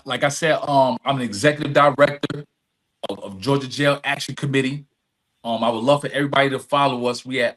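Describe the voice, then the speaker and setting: A man with a somewhat high, husky voice talks as a guest on a podcast. He tells things like testimony, earnest and emphatic. He repeats key phrases and keeps checking that the listener follows. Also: a bit bright, poor audio. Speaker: podcast guest, advocate and executive director. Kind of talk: conversational storytelling with earnest testimony.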